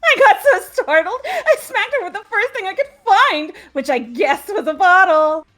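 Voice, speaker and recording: Woman doing a high, theatrical killer girl, cheerfully deranged. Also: animated, moderate pace, pushed and bright, soft background music, exaggerated voice. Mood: gleeful.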